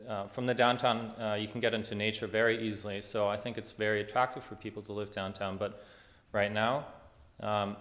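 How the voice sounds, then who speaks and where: Young german guy talking at a town meeting, lowish flat voice, lots of ums, earnest. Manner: earnest, hesitant with many fillers. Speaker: public meeting speaker. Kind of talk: speaking at a public consultation. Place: public meeting hall.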